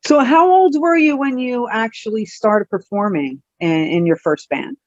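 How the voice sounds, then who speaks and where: A man's voice: very high-pitched, measured pace, no room sound, highs cut off. A man hosting a music interview, asking short, direct questions and giving brief affirmations.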